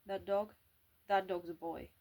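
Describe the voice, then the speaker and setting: A woman voicing an old male street seller of puppies, speaking louder with a salesman's drawn-out patter in an amateur read-aloud. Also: mid-range voice, level tone, natural voice. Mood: salesy. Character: older male street puppy seller, pushy.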